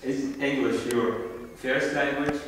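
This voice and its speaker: A man interviews someone, asking slow, hesitant questions with non-native grammar. His voice is of middling pitch for a man and comes from some way off in a very echoey room. A faint hiss sits under it. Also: steady tone, a bit bright. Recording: echoey room recorded from a distance.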